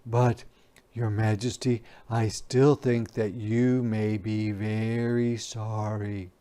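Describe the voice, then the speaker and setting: An anxious young page boy from a storybook, acted by a woman. He talks in a hushed, deferential way, worried and politely pleading with someone above him. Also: very deep voice, flat delivery, takes it slowly, pitched below the performer's own voice. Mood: meek worry.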